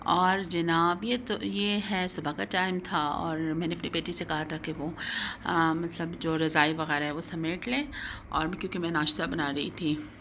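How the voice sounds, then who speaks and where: A woman's voice: on the low side, steady tone, a little slow, fairly bright tone. A middle-aged woman narrating a casual home vlog in a hesitant way, often repeating her phrases. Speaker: vlogger. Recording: clear background noise, home ambience.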